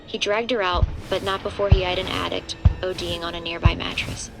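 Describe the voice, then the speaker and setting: A woman's voice: fairly low pitch, level tone, brisk, creaky, rough recording. A woman as the composed third-person narrator of a scripted horror audio drama. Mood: matter-of-fact. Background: loud music.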